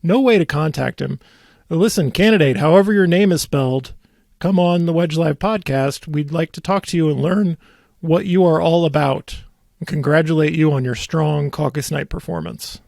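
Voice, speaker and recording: A man hosting a podcast in casual chat, teasing and mock-serious with dry sarcasm and a gravelly, husky voice. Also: on the high side, moderate pace, darkish tone, dry recording. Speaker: podcast host. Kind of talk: casual chat about local politics.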